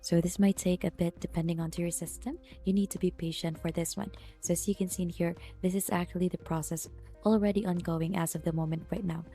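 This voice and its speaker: Low, dark-voiced woman presenting a tutorial. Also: fairly even intonation, fast talker. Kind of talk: step-by-step screen tutorial narration. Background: background music.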